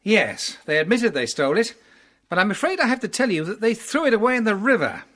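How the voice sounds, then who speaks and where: A brisk, kindly middle-aged dad in a children's radio-style play. His voice is bright and very lively, with a hearty, reassuring manner. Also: high voice, no echo, studio quality, heavily acted. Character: brisk, kindly father of schoolboy detective. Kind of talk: children's audio drama acting.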